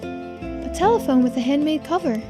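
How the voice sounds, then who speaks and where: Woman doing a very high, earnest young woman. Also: expressive, normal speed, over music. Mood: gentle, chatty. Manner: earnest, hesitant, pleading. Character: anxious, earnest young island woman, sweet and stubborn. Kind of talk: visual novel character voicing.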